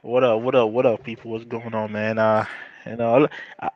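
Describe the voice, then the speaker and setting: A man co-hosting a casual podcast while stuffed up from a cold, talking in an animated, slangy way. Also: medium pitch, normal speed. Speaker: podcast co-host. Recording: dry, dull lo-fi mic.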